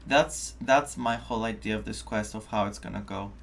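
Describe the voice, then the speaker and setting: A game streamer giving casual live commentary, musing and halting while thinking out loud. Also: mid-range voice, gravelly.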